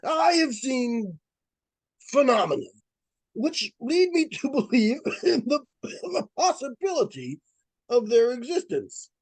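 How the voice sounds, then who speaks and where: A man performs a solemn ghost hunter from a vintage radio thriller. He is formal and portentous, with a high, widely swinging voice. Each phrase comes out slowly and deliberately, with theatrical gravity. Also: sing-song, dry recording, exaggerated voice, rough recording. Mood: solemn, foreboding. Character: earnest, portentous male psychic researcher and ghost hunter. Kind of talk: live old-time radio suspense acting.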